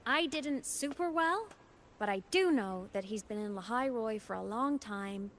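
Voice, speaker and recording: A woman voicing a young ghost girl in a video game, a former student who speaks gently, with a wistful and teasing manner. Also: a little high, expressive, measured pace, a little hiss or hum. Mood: playful, confiding.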